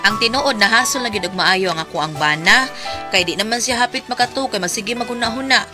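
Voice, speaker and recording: Woman acting as a high-voiced, exasperated Cebuano wife. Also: a little fast, over music, lightly acted. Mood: resigned. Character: exasperated, outspoken wife of a betting man. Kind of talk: comedy drama acting.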